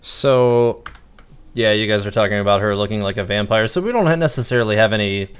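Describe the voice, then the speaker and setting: A man's voice: lowish voice, fairly quick, clean tone. A man livestreaming a video game, giving casual commentary in a musing, hesitant, speculative way.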